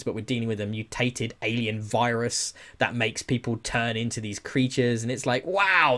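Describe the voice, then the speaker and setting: A man co-hosting a podcast, chatting casually about films and games in an enthusiastic, emphatic, sweary way. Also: mid-range voice. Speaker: podcast co-host. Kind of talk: casual film and game chat.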